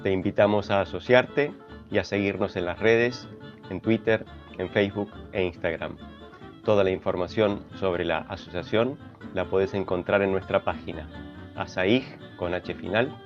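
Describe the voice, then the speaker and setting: A man's voice: low-pitched, steady tone, measured pace. A man with an Argentine accent reading a scripted podcast promo as its announcer. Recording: slightly dull, over music. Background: guitar music.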